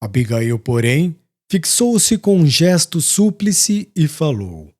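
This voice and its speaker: A man narrating an audiobook dramatically, with solemn, literary, deliberate phrasing. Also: a little high, big pitch swings, darkish tone. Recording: prominent music under voice.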